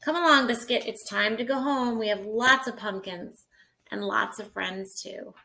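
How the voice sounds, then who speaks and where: A woman's voice: a little high, normal speed, vocal fry, pushed and bright, poor audio. A woman voicing a cheerful little girl who talks to her puppy in a coaxing, sing-song way.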